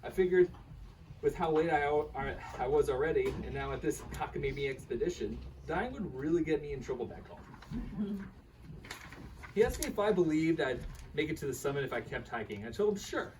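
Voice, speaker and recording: A man's voice: a little high, brisk, close to an everyday voice. A man playing an earnest, bemused karate student telling his partner a story in a live radio play reading. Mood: animated, bemused. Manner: animated, chuckling, quotes his teacher's dialogue. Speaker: reader in a staged radio play. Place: live reading at a bookshop event. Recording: distant microphone with faint room noise.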